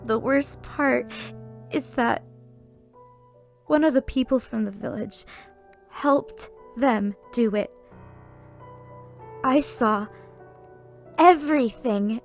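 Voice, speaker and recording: A woman playing a somber young village woman haunted by a massacre, in an earnest, halting audio drama delivery. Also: high-pitched. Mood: tearful, anguished. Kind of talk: dramatic audio roleplay acting. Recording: music bed under the voice.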